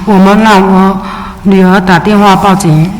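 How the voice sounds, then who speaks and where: A Chinese immigrant woman reads her testimony at a steady, measured pace, pausing now and then. Her voice carries the long reverb of a big public chamber, with a faint ambient hum underneath. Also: mid-range voice. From China. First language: Mandarin. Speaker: witness testifying at a city council hearing. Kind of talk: read testimony in Mandarin. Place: large public hearing chamber. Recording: echoey hearing room with faint ambience.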